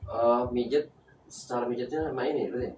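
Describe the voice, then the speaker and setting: A man with a mid-pitched voice in informal conversation. He speaks like a customer in a casual exchange. Clear background noise surrounds him. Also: steady tone, brisk, darkish tone. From Indonesia, Sundanese family living in Palembang. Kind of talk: casual chat. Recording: noisy room with clear background ambience.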